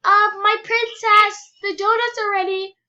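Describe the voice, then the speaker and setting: A woman's voice: very high voice, fairly even intonation, unhurried, bright, forceful tone, voice pushed up, heavily acted. A young girl playing an obedient, deferential maid in a game roleplay, on a dull home microphone. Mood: polite, eager. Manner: polite, deferential. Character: obedient young female maid serving a princess.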